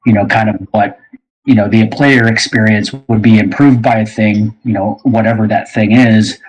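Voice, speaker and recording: Lowish-voiced man, rambling casually. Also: fairly even intonation, moderate pace, rough recording. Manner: rambling, frequent "you know". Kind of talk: casual panel discussion.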